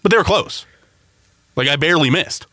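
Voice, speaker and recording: A young man co-hosting a casual sports podcast, teasing his friend and getting animated about results. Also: normal speed, no echo, clean studio sound. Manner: teasing, animated, jumps up in pitch when excited. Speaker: podcast co-host.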